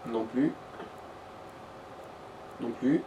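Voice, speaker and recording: A man's voice: medium pitch, very slow, mellow, far from the mic, highs cut off, a little hiss or hum. A man chatting casually as a let's play co-commentator, laid-back and slangy with dry jokes. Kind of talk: casual gameplay chat.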